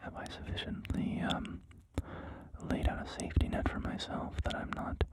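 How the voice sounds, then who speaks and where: A gaming ASMR creator whispering gameplay commentary into a close mic, soft and apologetic, rambling with many fillers. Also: normal speed.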